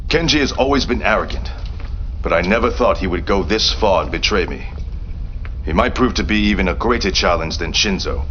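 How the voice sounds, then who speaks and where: An actor playing a stern, dignified leader who speaks in a measured, formal way in a game cutscene briefing. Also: male voice, lowish voice, a bit bright, lo-fi sound, slight background noise.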